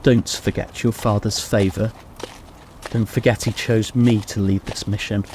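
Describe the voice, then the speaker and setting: A man's voice: fairly low pitch, flat delivery, measured pace, a little mellow, slight background noise, close to an everyday voice. A man playing a stern, impatient, bossy leader of a quest in a radio play.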